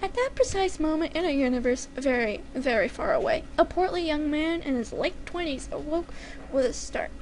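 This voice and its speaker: A woman with a very high voice narrates a story in dramatic fashion. Her delivery is deliberate, and there is clear noise in the recording. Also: animated. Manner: deliberate, careful enunciation. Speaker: fan fiction reader.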